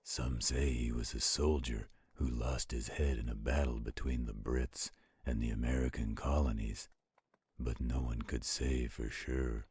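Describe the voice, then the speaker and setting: A man playing a gentle, protective werewolf in a roleplay audio, telling bedtime stories in a slow, intimate, caring way. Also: level tone, a little hiss or hum. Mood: soothing, storytelling. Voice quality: very deep, husky, gravelly growl. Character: gentle protective male werewolf, soothing storyteller to his partner.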